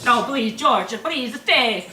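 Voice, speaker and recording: A woman's voice: fairly high pitch, expressive, slow, reverberant, music in the background. A woman doing a fretful kindly bar owner. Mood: worried.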